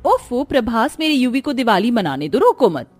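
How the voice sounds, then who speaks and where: A woman's voice: on the high side, big pitch swings, moderate pace, a little mellow, dry recording, faint music behind, voice pushed up. A woman voicing a haughty, mocking rich young sister-in-law in a cartoon family drama, boastful and sneering. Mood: annoyed. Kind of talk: Hindi animated family melodrama.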